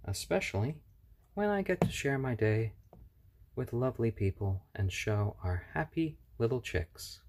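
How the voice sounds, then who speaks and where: A man hosting a casual live stream at home on his phone, speaking gently to viewers and to baby chicks. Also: medium pitch, animated, a little slow. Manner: gentle, soft-spoken, talks to chat and chicks. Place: home room. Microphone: handheld phone.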